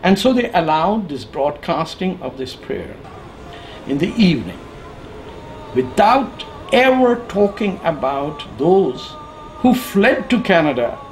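A man in his sixties giving an opinion monologue to camera, emphatic and sardonic, with deliberate phrasing. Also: fairly high pitch, poor audio. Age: 60s. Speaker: political commentator. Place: living room with bookshelves, indoors. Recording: clear background noise.

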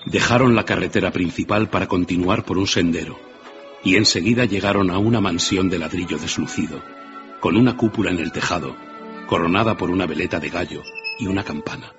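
Male storyteller, very low voice, dramatic scripted narration, muffled radio sound. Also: a little fast. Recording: muffled radio broadcast with prominent music.

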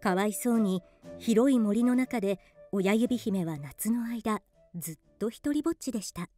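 A woman narrates a children's tale as scripted radio drama. Her voice is soft-toned and a little high, with an animated melody. Faint music plays behind her. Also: moderate pace.

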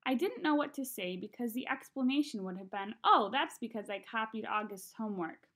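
Higher-pitched young woman reading a story aloud. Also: expressive, fairly quick, fairly bright tone. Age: young adult. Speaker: read-aloud narrator. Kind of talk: expressive audiobook-style reading of a children's novel. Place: small room at home.

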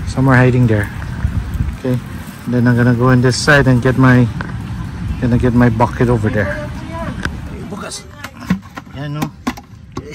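A man narrating a casual vlog of a fishing trip, cheering and whooping when fish bite. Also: mid-range voice. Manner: excitable, whoops and cheers at catches. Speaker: vlogger filming a beach fishing trip. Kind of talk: casual vlog narration, mixing Tagalog and English. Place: windy tropical beach outdoors. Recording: loud wind buffeting the phone mic. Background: strong wind noise and surf.

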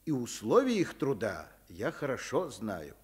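Husky, earnest doctor. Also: male voice, medium pitch, wildly swooping pitch, unhurried, darkish tone, exaggerated voice. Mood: firm. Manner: earnest, firm. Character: principled, protective doctor and father, plain-spoken, firm. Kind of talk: Soviet radio play acting.

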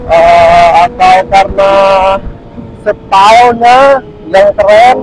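A man's voice: high voice, slow pace. A man chatting casually and slangily to viewers while riding a motorcycle. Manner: slangy, addresses viewers as friends. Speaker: motovlogger. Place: outdoors on a motorcycle at night. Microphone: intercom headset fed into action camera. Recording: helmet intercom with loud engine and wind noise. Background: motorcycle engine, passing traffic, wind.

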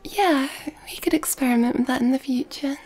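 Woman acting a high, whispering, nurturing girlfriend. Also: soft background music. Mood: tender, reassuring. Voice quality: breathy whispering. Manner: soft, slow, gentle. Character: nurturing, gently dominant, affectionate girlfriend. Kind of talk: ASMR girlfriend roleplay.

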